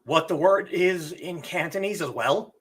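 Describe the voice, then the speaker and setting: A man's voice: fairly high pitch, measured pace. A man hosting a casual live stream, explaining language tones and chatting with viewers while getting over a cough. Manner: relaxed, chatty, answers chat questions. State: lingering cough from illness.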